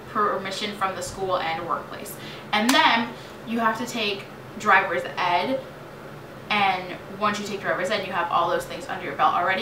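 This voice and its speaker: A young American woman in her late teens telling a story in a casual, animated, chatty vlog style. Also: mid-range voice. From New York State, United States. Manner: animated, chatty, dramatizes remembered exchanges. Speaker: vlogger. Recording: roomy echo, distant mic, clearly noisy.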